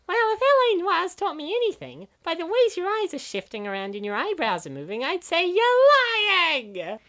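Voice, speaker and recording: A woman's voice: extremely high pitch, very animated, normal speed, sharp tone, full character voice. A woman voicing a sardonic, opinionated young female gamer in a comic dub, given to ranting about games. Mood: accusing. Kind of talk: comic dub dialogue, gaming banter.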